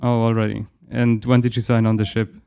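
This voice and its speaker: A man's voice: medium pitch, level tone, a little fast, vocal fry, mellow, smooth. A man hosting a podcast, asking short questions and repeating words in a casual interview. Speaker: podcast host.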